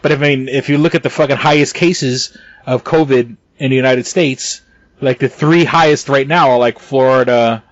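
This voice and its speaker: A man's voice: medium pitch, steady tone, normal speed, dry recording, highs cut off. A man co-hosting a casual podcast, talking in a heated, profane way with stumbling restarts and bursts of laughter. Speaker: podcast co-host.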